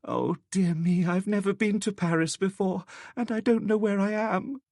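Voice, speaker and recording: A man's voice: high voice, moderate pace, creaky, a little mellow, dry recording, studio quality, pitched above the performer's own voice. A man voicing a frightened young woman new to the city, stammering and pleading tearfully in an audiobook. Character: frightened, sobbing young woman, timid newcomer to Paris.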